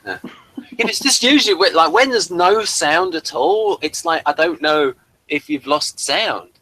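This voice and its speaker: A man's voice: on the high side, expressive, normal speed, bright, forceful tone, no room sound, rough recording. A man hosting a review show in casual, unscripted chat, rambling and stop-start as he thinks aloud.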